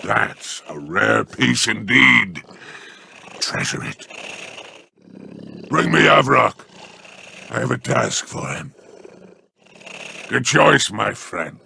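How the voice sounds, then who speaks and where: A sly alien crime boss from a video game, with a deeply gravelly, growling voice. He slides widely up and down as he trades in mock courtesy and unhurried menace. There is a faint noise behind him. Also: sing-song, sharp tone, heavily acted. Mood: smug, menacing. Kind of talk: video game character voice lines.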